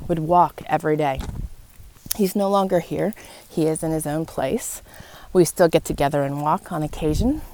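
A woman hosting a podcast, giving an earnest, reflective, encouraging motivational talk about life and faith in short phrases with pauses. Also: on the low side, darkish tone, slight background noise, rough recording. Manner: encouraging, addressing listeners directly. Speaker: podcast host. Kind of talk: personal, faith-based motivational talk to listeners. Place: outdoors in wind.

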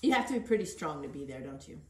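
Low, even-voiced woman in an echoey room. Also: fast talker. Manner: earnest, encouraging. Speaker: podcast host interviewing. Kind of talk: conversational interview.

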